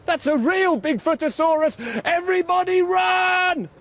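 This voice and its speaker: A man voicing a small, boisterous young male dinosaur in an excitable, exclaiming way for a bedtime story. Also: extremely high pitch, measured pace, pitched above the performer's own voice. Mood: alarmed.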